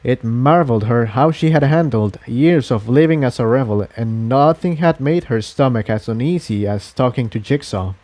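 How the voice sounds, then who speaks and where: A man's voice: medium pitch, normal speed, hardly any pauses, darkish tone, dry recording. Man narrating, dry close sound. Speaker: amateur fan fiction reader. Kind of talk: scripted story narration.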